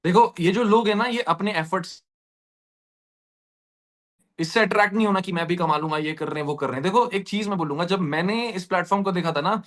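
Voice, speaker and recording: A man giving a motivational pitch directly to his online audience, repeating points for emphasis. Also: brisk, fairly bright tone. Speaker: presenter of an earning opportunity session.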